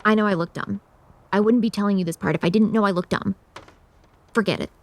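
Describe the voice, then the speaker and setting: A woman's voice: mid-range voice, fast, close to an everyday voice. An actress playing a dry, sarcastic young woman mortician who sees monsters, in a horror audio drama. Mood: wry, confiding. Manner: dry, sarcastic, blunt, swearing. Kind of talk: audio drama acting with confiding asides.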